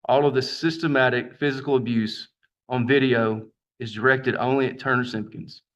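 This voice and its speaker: A man speaking as a prosecutor, laying out facts formally and in a measured way in a courtroom, on dull lo-fi audio. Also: mid-range voice, steady tone, fairly bright tone.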